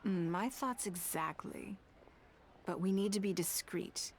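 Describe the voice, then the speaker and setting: An actress playing a shrewd, composed noblewoman and political leader in a video game, speaking formally and deliberately. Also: mid-range voice, a little hiss or hum, close to an everyday voice. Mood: calm, watchful. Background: background music.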